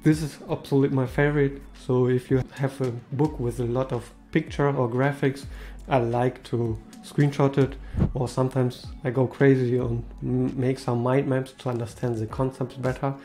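A man in his thirties speaking English as a second language, with a mellow, warm tone and slightly non-native phrasing. He talks to camera from a small room, upbeat and casual. Faint music sits under his voice. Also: mid-range voice, little pitch movement, moderate pace. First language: not English. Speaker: tech video host.

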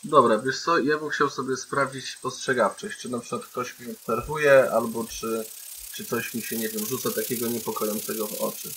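Bright-voiced man in a roleplay session. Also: medium pitch, fairly even intonation, normal speed, slight background noise, poor audio. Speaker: tabletop roleplay player. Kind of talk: casual in-game talk.

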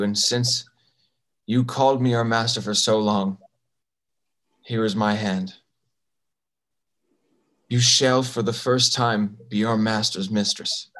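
Duke for a Shakespeare comedy, played by a young man: courtly, fairly deep voice. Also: a bit bright. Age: young adult. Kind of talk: live table read of Shakespearean verse drama. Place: bedroom, video call.

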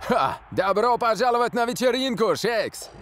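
A man playing a confident young soccer player who rallies his team in an animated cartoon. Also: very high voice, normal speed, background noise. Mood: upbeat.

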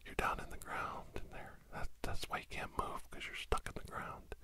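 A middle-aged man in a whispered ASMR podcast monologue, breathy and secretive, rambling haltingly and repeating words, close to the mic. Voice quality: breathy whisper breaking into low murmur. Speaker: podcast host.

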